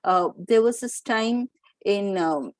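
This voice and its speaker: A woman's voice: mid-range voice, expressive, slow, darkish tone, dry recording. A middle-aged Indian woman giving an explanatory lecture to teachers, with fillers and restarts. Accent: Indian English.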